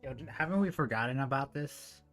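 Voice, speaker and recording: A woman's voice: very deep voice, expressive, brisk, soft background music. A woman streaming a game live, giving casual, slangy commentary with exclamatory reactions.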